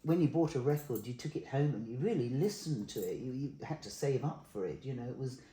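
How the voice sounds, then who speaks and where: A woman's voice: very deep voice, lively intonation, mellow. Older woman, chatty interviewer, roomy sound. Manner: chatty, interjects with quick agreement. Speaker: host. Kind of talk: casual interview chat.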